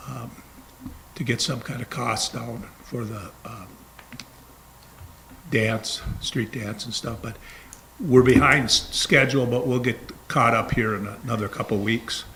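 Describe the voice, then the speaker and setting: A man's voice: medium pitch, fairly even intonation, measured pace, fairly bright tone, slight background noise, rough recording. A plain-spoken man giving a casual event update at a city council meeting.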